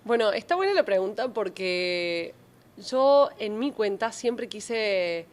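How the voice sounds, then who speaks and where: Cordoban Argentine woman in her thirties, mid-pitched, animated guest storytelling in a podcast interview. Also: slow pace. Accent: Cordoban Argentine Spanish. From Córdoba, Argentina. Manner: animated storytelling with many fillers and restarts. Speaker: journalist and travel creator guest.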